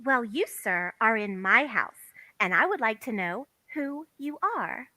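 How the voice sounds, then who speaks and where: Woman doing a sharp-tongued suspicious housewife, comedy play read, arch, bright swoopy voice, dry sound. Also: on the high side, lively intonation, measured pace, no echo. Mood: indignant. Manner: arch, pointed, emphatic. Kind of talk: theatrical table read of a comic play.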